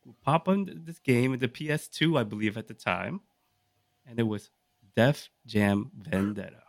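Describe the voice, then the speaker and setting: A man talks casually to his podcast group in a middle-pitched voice. He is enthusiastic and leans on rhetorical questions to sell each pick. The sound is very dry and close. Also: normal speed, creaky, no echo. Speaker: podcast co-host. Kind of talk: casual chat, pitching a list of picks to the group.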